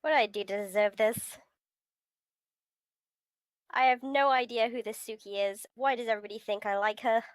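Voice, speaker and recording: Girl playing a put-upon sarcastic school kid, highish voice, grumbly, dry close sound, visual novel reading. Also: steady tone, moderate pace, long pauses, no echo, close to an everyday voice. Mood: brooding. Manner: grumbling, sardonic. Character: beleaguered, sarcastic teenage schoolboy protagonist. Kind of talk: visual novel read-aloud.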